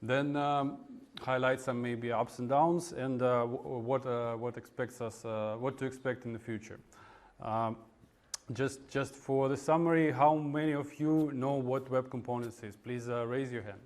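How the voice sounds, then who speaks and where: A man from Russia with a Russian accent giving an informal technical talk at a meetup, in a halting, hesitant way with frequent pauses. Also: medium pitch, fairly even intonation, darkish tone. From Russia (Moscow). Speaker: conference speaker, web developer. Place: indoor meetup room in front of an audience.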